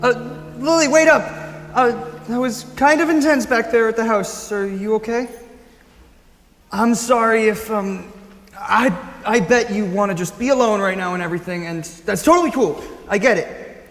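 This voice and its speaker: A man's voice: high-pitched, moderate pace, a bit bright. A man playing an awkward, earnest, kindhearted teenage boy in a stage musical, speaking hesitantly and rambling with stammers. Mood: awkward concern. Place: school auditorium staged reading. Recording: echoey auditorium, piano music behind.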